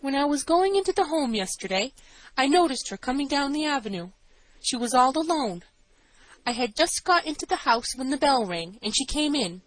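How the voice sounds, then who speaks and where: Lady doing a jittery matron, way higher voice, earnest and anxious, audiobook, very dry sound. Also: sing-song, moderate pace, dry recording, low-quality recording. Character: nervous, trembling female assistant matron giving testimony.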